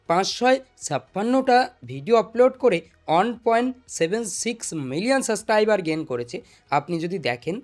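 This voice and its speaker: A young man guides listeners step by step as a tutorial presenter. His voice sits high for a man and moves up and down in an animated way. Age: young adult. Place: small indoor desk studio. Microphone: desk microphone.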